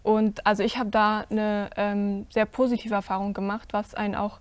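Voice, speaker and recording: A teenage girl on a stage panel, answering earnestly as a cast member, with many pauses and fillers. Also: medium pitch, level tone, dry recording. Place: stage with curtain, indoors.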